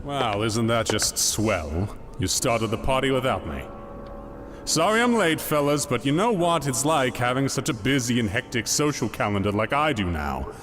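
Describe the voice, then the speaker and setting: A man's voice: medium pitch, very animated, moderate pace, noisy background, close to an everyday voice. A man playing a pompous, touchy gangster in an audio drama, wordy and sarcastic. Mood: smug. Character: pompous, touchy male gangster with mayoral ambitions. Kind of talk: fan-made audio drama acting.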